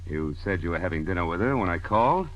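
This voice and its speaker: An actor playing a no-nonsense intelligence chief in a 1940s-style radio drama, matter-of-fact and authoritative. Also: male voice, sits low, lively intonation, fast, a bit bright. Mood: businesslike. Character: brisk, businesslike male intelligence chief, no-nonsense. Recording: dull old radio broadcast recording.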